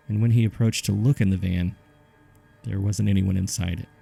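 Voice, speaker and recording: Deep, gravelly man narrating horror. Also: steady tone, moderate pace, warm tone, soft background music. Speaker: host and narrator of a horror audio drama. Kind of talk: scripted narration, reading case files.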